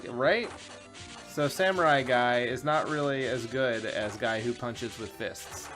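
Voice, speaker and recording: A man's voice: mid-range voice, slow. A man co-hosting a game show, giving casual, informal and sweary commentary as he plays. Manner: informal, profane. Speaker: video game show co-host and player. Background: game music.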